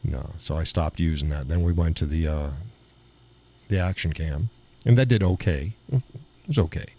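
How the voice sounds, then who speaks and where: Deep, dark-voiced man with slight gravel. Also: normal speed. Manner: rambling, chuckling between remarks. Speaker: live show host. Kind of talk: casual live-stream chat. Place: home studio in a weight room. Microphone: studio mic through mixer board.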